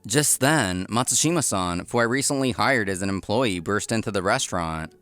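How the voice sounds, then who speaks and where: A man's voice: on the low side, lively intonation, moderate pace, fairly bright tone, clean tone, dry recording. A man acts out a hardworking young restaurateur in a manga drama. His delivery moves expressively between sincere narration and easily flustered dialogue. Music plays behind him. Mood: earnest, reflective. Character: earnest, work-obsessed young male restaurant owner, easily flustered. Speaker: narrator and lead character.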